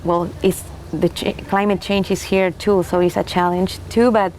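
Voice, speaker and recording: A young Chilean woman, a winemaker, speaking unhurriedly and haltingly in an oral history interview with soft laughs. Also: fairly low pitch, level tone, slight background noise. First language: Spanish.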